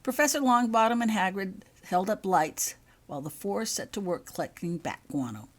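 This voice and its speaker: A woman narrating fiction in an expressive storybook style, reading as the author of the tale. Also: on the low side.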